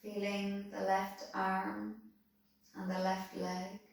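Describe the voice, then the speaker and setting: A woman calmly leading a guided body-scan meditation, giving soothing, unhurried instructions. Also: medium pitch, vocal fry. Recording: very echoey room, voice distant from mic.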